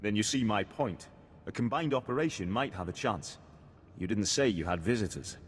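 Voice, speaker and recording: A disdainful, formal captain played by a male actor speaks in a low voice. The video game dialogue echoes as if in a hall, with noisy ambience behind. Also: lively intonation, moderate pace, close to an everyday voice. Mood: grim, disdainful.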